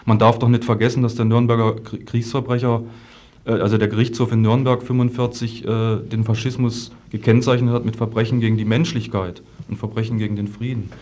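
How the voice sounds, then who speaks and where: Man arguing politics in formal, halting speech. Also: mid-range voice, little pitch movement, a little mellow. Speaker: political activist as discussion guest. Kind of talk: political debate, argumentative talk.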